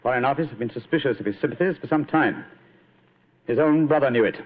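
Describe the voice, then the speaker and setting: An actor playing a shrewd, commanding English detective in a radio drama, with a British accent and a theatrical, authoritative manner. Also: male voice, mid-range voice, quick pace, natural voice. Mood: probing, stern. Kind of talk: old-time radio drama acting. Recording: tinny, band-limited vintage radio recording.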